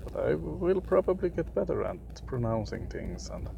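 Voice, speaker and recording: A young man from Sweden with a Swedish accent, for whom English is a third language, giving casual gameplay commentary in a halting way, often searching for words. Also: mid-range voice, very animated, creaky, highs cut off, background noise. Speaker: gaming video creator.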